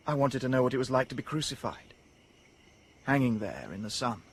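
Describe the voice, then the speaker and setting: A man's voice: mid-range voice, animated, fairly quick, breathy edge. An actor playing a lonely, earnest young man raised as an outcast, in a radio drama. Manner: wistful, earnest, wonder-struck. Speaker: the Savage. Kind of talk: BBC radio drama acting.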